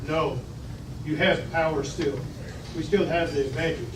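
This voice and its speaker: A man reading the part of a commanding, scheming male villain in a firm, deliberate way. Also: on the high side, steady tone, close to an everyday voice. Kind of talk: table read of a sci-fi script. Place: restaurant dining room table. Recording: distant, echoey, noisy restaurant.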